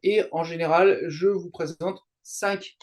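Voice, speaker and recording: A middle-aged man from France chatting as an interview guest, explaining things in a halting way with frequent digressions. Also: sits high, fairly even intonation. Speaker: guest, YouTuber and translator. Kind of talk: casual interview chat, explaining.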